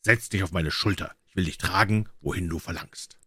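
A man as a gruff, grumpy huge giant. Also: sits low, normal speed, sharp tone, no room sound, full character voice. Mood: grudging. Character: huge, grumpy, sleepy male forest giant, easily fooled. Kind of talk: fairy tale character voice.

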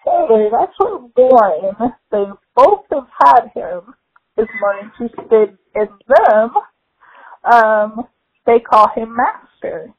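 A woman's voice: on the high side, expressive, slow, breathy edge, narrow, tinny audio. A woman answering interview questions casually, with shy little laughs. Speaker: guest.

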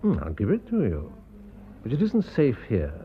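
An actor playing a coolly scheming English gentleman surgeon in a radio drama, confiding and deliberate. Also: mid-range voice, huge pitch swings, husky, narrow, tinny audio. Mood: confiding, persuasive.

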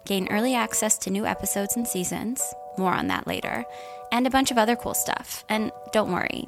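A woman with a creaky voice delivering scripted narration for an audio fiction show. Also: mid-range voice.

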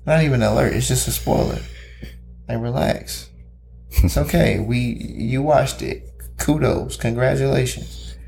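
A man co-hosting a casual chat, talking in an animated, ranting, slang-heavy way. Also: medium pitch, unhurried, creaky, soft background music. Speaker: podcast co-host.

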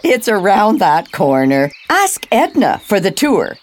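Kindly older woman, played by an actress, slow but highly melodic, chatty and wistful, audio drama. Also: huge pitch swings. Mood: fond, flustered.

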